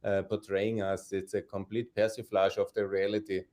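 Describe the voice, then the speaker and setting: A man's voice: lowish voice, level tone, normal speed, darkish tone. Emphatic man hosting a podcast. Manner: emphatic, chuckles between points. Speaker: podcast host. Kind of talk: political interview talk.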